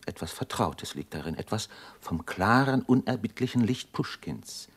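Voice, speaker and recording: A thoughtful man of medicine in a radio play, with a very low voice. He declaims intensely and reflectively, full of awe. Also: lively intonation, moderate pace, husky. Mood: awed, fervent. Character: thoughtful doctor, awed by revolutionary upheaval. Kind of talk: German radio drama acting.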